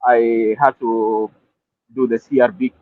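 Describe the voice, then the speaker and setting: A man's voice: medium pitch, level tone, slow, no room sound. A man from Kenya calling in to a live stream, explaining his case hesitantly and repeating himself. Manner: hesitant, repeats himself, checks he can be heard. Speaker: caller. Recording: dry tinny call audio.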